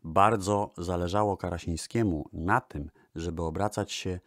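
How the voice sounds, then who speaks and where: A man's voice: low voice, steady tone, measured pace, a bit bright, no room sound, studio quality. A man presenting music history in the style of a radio programme. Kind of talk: spoken music-history narration.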